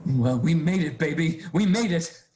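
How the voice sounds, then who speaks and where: A man's voice: a little high, expressive, moderate pace, a little mellow, a little hiss or hum, close to an everyday voice. A man playing a weary, guarded husband and secret accomplice in a 1940s radio noir. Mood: guarded, conspiratorial.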